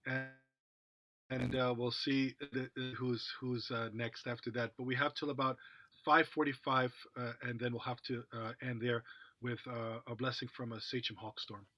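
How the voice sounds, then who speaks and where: A man hosting an online memorial, running the speaker list with halting, stumbling speech. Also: mid-range voice, little pitch movement, no room sound. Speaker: host of the memorial. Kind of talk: moderating an online event. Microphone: video call microphone. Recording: dull, dry video call audio.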